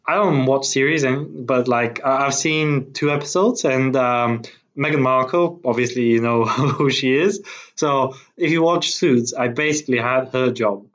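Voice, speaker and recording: A man's voice: medium pitch, unhurried, a bit bright. A man in his thirties from Bulgaria, a native Bulgarian speaker, chatting as a podcast guest in an informal, hesitant, rambling way. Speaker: podcast guest, tech founder. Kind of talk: casual conversation.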